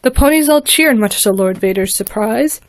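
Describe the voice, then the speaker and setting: A woman's voice: a little high, animated, moderate pace, no room sound. A woman reading a rhyming fan story aloud at home, now and then stumbling and restarting words. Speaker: fanfiction reader.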